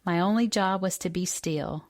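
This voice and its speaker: A woman's voice: fairly low pitch, moderate pace. A woman in her fifties from the United States reading a personal essay aloud as a scripted narration. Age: 50s. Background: music.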